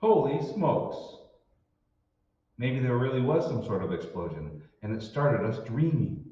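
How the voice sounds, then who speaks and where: A man's voice: medium pitch, expressive, unhurried, warm tone, close to an everyday voice. An actor playing an everyman office accountant in an old-time radio drama. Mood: shaken, puzzled. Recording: very echoey hall, distant mic, muffled.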